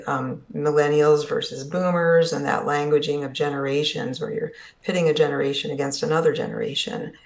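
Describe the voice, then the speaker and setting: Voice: medium pitch, vocal fry. A conference presenter giving an earnest, explanatory talk on ageism, often checking in with the audience by asking "right?". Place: summit session at an events complex.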